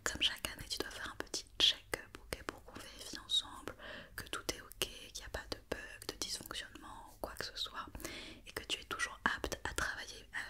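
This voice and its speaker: Whispering woman, ASMR doctor roleplay. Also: brisk. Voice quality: soft unvoiced whisper. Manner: gentle, reassuring, instructing step by step. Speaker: ASMR roleplayer playing a company doctor examining a robot.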